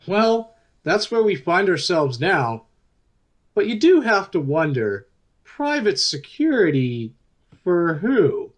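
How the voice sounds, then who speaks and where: A man's voice: high-pitched, very animated, takes it slowly, heavily acted. A man playing an upbeat, chatty news talk show host in a radio play, with an emphatic delivery. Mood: wry, chatty.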